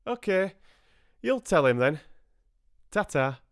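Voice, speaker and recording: A man's voice: high voice, big pitch swings, slow, no room sound, voice pushed up, exaggerated voice. Eager, confident country farmer for an audiobook, a man acting him out; broad Yorkshire, swooping intonation, dry close sound. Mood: hearty, matey. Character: plump, eager, confident male farmer, matey and blunt. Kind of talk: audiobook character dialogue.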